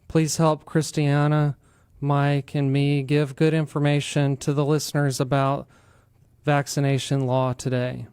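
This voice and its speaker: A man's voice: a little high, a little mellow, smooth, no echo, studio quality. An American man hosting a talk radio show, explaining things to listeners. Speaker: radio show host, attorney.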